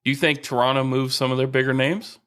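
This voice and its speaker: A man's voice: mid-range voice, flat delivery, fast talker, a bit bright, clear, resonant tone. A man co-hosting a podcast, chatting casually about baseball, teasing his partner and steering the talk with questions. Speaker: podcast co-host. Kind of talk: casual sports chat.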